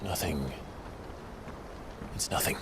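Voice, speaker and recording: A man playing a brooding, earnest noble warrior in a video game, talking in a quiet, reflective way. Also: slow pace, slight background noise. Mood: guarded, low. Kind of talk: dramatic video game cutscene acting.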